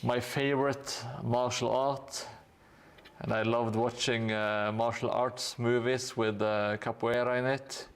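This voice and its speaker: A man chatting on a live stream as he paints, unhurried and easygoing. His voice has a gravelly fry, and he pauses often with little fillers and restarts. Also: medium pitch. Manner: relaxed, hesitant with frequent fillers, thanks viewers. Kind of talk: casual live-stream chat while painting.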